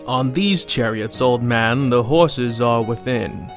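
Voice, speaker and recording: A man's voice: medium pitch, slow, faint music behind. An actor playing a wry male companion in an audio drama, talking with teasing emphasis. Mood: amused, teasing. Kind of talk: scripted audio drama acting.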